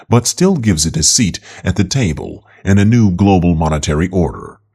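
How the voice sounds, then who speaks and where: A man's voice: low voice, a little mellow. A man reading an opinion article aloud as scripted narration.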